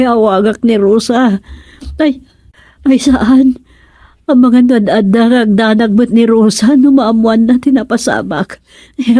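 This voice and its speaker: A woman's voice: a little high, mellow. A woman playing a worried, devout older village mother in an Ilocano radio drama, exclaiming and lamenting with an Ilocano Filipino accent.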